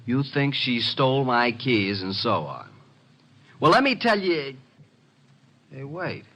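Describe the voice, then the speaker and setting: An actor playing a wisecracking, streetwise detective's assistant in an old radio drama, with a wry, clipped delivery. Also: male voice, mid-range voice, sing-song, slow pace, a bit bright, heavily acted. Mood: indignant. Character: wisecracking, exasperated male private detective's assistant, streetwise and sardonic. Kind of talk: old-time radio drama acting and first-person narration.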